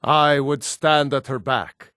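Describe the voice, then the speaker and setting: Stern, measured Nord warrior. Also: male voice, mid-range voice, steady tone, pushed and bright, clear, resonant tone, no room sound, clean studio sound. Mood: proud, solemn. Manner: solemn, commanding, terse martial delivery. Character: gruff proud Nord warrior, stern battle-hardened brother-in-arms. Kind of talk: fantasy video game voice acting.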